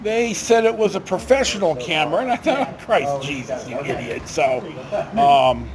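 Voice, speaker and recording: An older, retired man telling stories casually to camera in a halting, rambling, self-correcting way. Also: a little high, crowd noise. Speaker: video storyteller.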